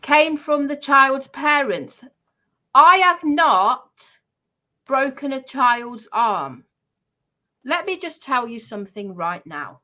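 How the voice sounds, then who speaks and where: A woman's voice: sits high, very animated, takes it slowly, pushed and bright. A woman in an aggrieved, emphatic livestream talk, often repeating herself to press her point.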